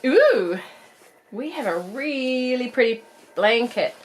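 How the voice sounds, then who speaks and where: A woman in her thirties with a New Zealand accent, croaky from a cold, chatting bubbly through a casual doll unboxing review. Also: on the high side, lively intonation, slow pace, low-quality recording. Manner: chatty, bubbly, laughs often. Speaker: doll collector vlogger.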